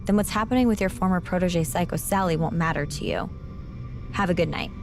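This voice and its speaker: A woman playing a composed, fearless wrestler, speaking in a measured, serious way in a video game drama. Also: fairly low pitch, close to an everyday voice. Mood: reproachful. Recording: music behind voice.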